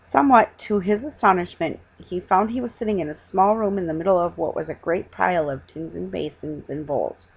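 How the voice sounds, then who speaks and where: A middle-aged woman reads a children's storybook aloud with a husky voice, stumbling now and then and correcting herself. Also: lowish voice. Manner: halting reading with stumbles and self-corrections. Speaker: reader. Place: small living room at home, indoors. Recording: dull lo-fi webcam sound.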